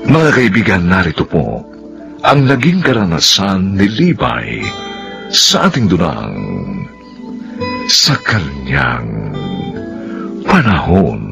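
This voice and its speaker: Radio narrator: very deep, gravelly man, slow and dramatic, over music. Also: sing-song. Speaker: announcer and narrator.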